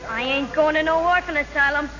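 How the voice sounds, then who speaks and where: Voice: high voice, a little fast, pushed and bright, soft background music. An actor playing a stubborn, grieving orphaned East Side boy who talks in street slang, in a 1940s radio drama. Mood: defiant. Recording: muffled vintage radio recording, crowd behind.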